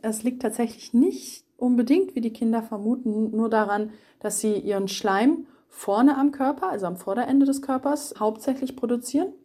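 A woman's voice: on the high side, moderate pace, few pauses, warm tone. A woman animal expert explaining things carefully for a young audience. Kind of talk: explanatory interview answers for children.

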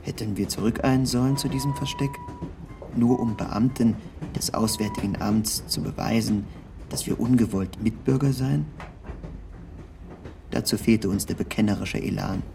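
A man reading a scripted literary narration in the first person, with measured, precise and formal diction. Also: lowish voice, vocal fry, noisy background.

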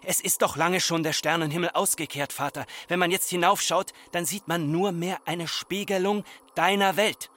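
An actor playing a farmer's embittered grown son, speaking in reproachful, incantatory repetitions in a literary radio drama. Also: male voice, high voice, steady tone, normal speed, hardly any pauses, a bit bright. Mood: reproachful, foreboding.

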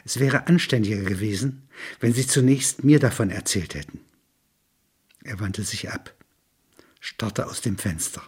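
A man's voice: lowish voice, measured pace, pauses often, breathy edge. A man reading a literary story aloud, narration and quoted dialogue alike. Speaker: reader of a literary text.

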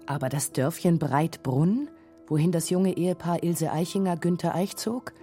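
A woman's voice: fairly low pitch, normal speed, mellow, faint music behind. A woman reading scripted narration for a radio documentary feature.

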